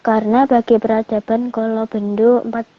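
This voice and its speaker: A woman reads slide text in a level, monotone voice that sits fairly high. Her delivery is scripted and steady, with little rise or fall. The audio is muffled and lo-fi. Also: moderate pace, a little hiss or hum. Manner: flat, even recitation. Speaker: slide reader. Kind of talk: scripted reading.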